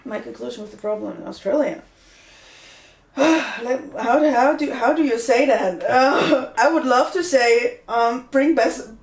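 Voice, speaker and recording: A woman's voice: on the high side, measured pace. Young woman podcaster in an echoey room. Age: 20s. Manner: talks in restarts, earnest and opinionated. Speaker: podcast co-host. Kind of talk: casual discussion. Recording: roomy echo.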